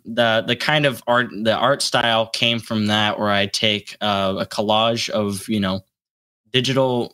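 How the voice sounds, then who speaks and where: A young adult man chatting casually as an interview guest, with a halting, rambling delivery. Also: on the low side, pushed and bright, clear, resonant tone. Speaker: guest, solo electronic musician.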